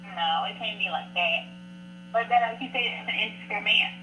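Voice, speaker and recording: A woman's voice: medium pitch, moderate pace, bright, forceful tone. A woman chiming in as a co-host in a casual chat. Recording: roomy echo.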